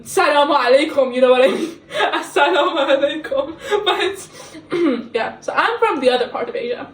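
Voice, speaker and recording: A woman's voice: high voice, moderate pace. Giggly young woman, echoey kitchen. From Middle East. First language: not English. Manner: giggly, excitable, self-mocking. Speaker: book reviewer. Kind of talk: casual rambling book review. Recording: echoey kitchen, camera at a distance.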